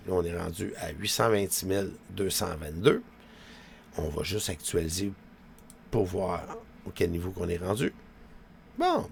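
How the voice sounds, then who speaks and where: A man with a Quebec French accent talks casually to his viewers in a low, creaky voice. His delivery rambles and is very colloquial, peppered with small tags. There is noticeable noise behind him. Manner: colloquial, with frequent verbal tags. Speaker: vlogger.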